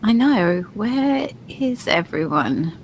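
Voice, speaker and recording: A woman's voice: medium pitch, big pitch swings, slow pace, highs cut off. Female roleplay gamer, medium register, casual in-character lines, faint noise on the recording. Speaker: tabletop game player.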